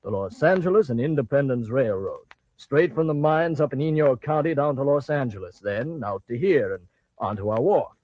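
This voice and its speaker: Actor as a bold railroad magnate, dull sound. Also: male voice, mid-range voice, lively intonation, moderate pace, dry recording, close to an everyday voice. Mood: eager, confident. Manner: emphatic, confident. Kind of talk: old-time radio drama acting. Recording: dull vintage radio recording.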